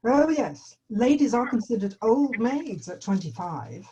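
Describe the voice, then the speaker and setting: A woman's voice: on the high side, very animated, unhurried, mellow, slightly rough, exaggerated voice. Distant, muffled actress as a snooty woman pedant. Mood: dismissive. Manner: prim, disdainful. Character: snooty, pedantic female Jane Austen purist. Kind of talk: table read of a comedy drama script.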